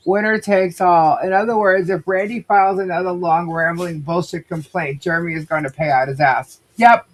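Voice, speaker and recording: A woman's voice: lowish voice, steady tone, moderate pace, poor audio. A woman from New York with a New York accent, co-hosting a casual legal commentary livestream and breaking off mid-sentence to ask for files. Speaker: livestream co-host.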